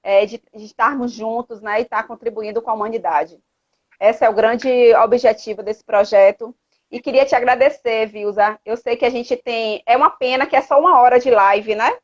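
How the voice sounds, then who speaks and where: A woman's voice: on the high side, steady tone, moderate pace. A woman with a Brazilian accent from Salvador, Bahia, speaking earnestly and urging listeners on in a casual live chat, often ending phrases with a tag question. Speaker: host of a live chat, activist parent. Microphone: live social media video call. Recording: dull lo-fi livestream audio.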